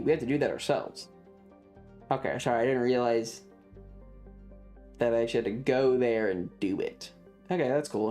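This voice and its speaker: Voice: mid-range voice, a little mellow. Rambling game streamer, music playing behind. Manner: rambling, talks to self and chat. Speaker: streamer hosting a live stream. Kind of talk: casual chat while playing a video game.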